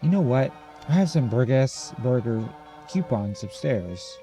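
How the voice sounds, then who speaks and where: A man's voice: mid-range voice, lively intonation, a little slow, dark tone, soft background music. A man voicing a worried, earnest mother in a horror game, coaxing and questioning. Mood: apologetic, coaxing.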